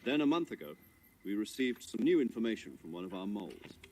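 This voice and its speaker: An actor playing a composed intelligence commander in a video game, giving a grave, measured and formal briefing. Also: male voice, fairly low pitch, very animated, darkish tone. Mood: somber. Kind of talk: dramatic scripted mission briefing in a video game cutscene. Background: music.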